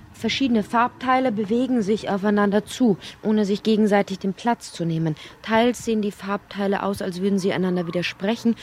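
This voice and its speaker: An actress playing a dreamy literary narrator in an audio drama, musing in repetitive, incantatory poetic fragments. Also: female voice, medium pitch, a little mellow, a little hiss or hum, close to an everyday voice. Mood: light, floating. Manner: incantatory, repeats phrases.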